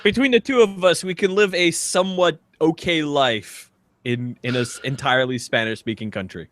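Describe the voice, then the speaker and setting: A man's voice: fairly high pitch, normal speed, fairly bright tone. A man co-hosting a comedy podcast, bantering casually and doing comic readings. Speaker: podcast co-host.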